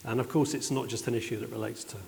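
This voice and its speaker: A man with a medium-pitched voice chairs a panel in an informal style. He is heard in a reverberant hall, with noticeable noise and clicks in the recording. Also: fairly even intonation, quick pace, gravelly, darkish tone. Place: reverberant indoor event hall.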